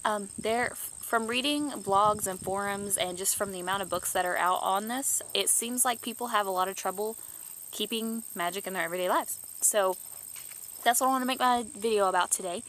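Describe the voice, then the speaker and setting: A woman's voice: mid-range voice, fairly quick, a bit bright. A young woman in her twenties with a stuffed-up nose from allergies, chatting casually to camera in a rambling way, full of restarts and fillers. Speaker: YouTuber.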